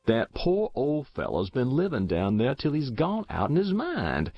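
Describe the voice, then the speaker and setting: A man's voice: medium pitch, huge pitch swings, unhurried, dry recording, lo-fi sound, pitched above the performer's own voice, full character voice. A man voicing a solid, hearty country neighbor with drawling, emphatic rural American speech in an audiobook. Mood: amazed. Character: solid, hearty, astonished middle-sized farmer neighbor. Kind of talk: audiobook character voice.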